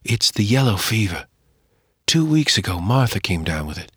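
A man voicing a weak, feverish frontier mother who speaks faintly and slowly. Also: lowish voice, very animated, a bit bright, no room sound, studio quality, full character voice. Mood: weak, scared. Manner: faint, weak, breathy and slow.

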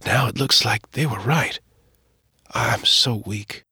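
A man voicing a weak, feverish frontier mother who speaks faintly and slowly. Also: mid-range voice, sharp tone, heavily acted. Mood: weak, scared. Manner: faint, weak, breathy and slow.

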